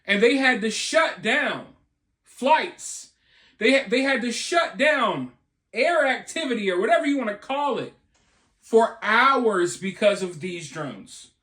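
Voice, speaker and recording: Male online news commentator, high-pitched, emphatic delivery with dramatic phrasing, recorded in an echoey room. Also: lively intonation, slow, a bit bright. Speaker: host of a news commentary video channel.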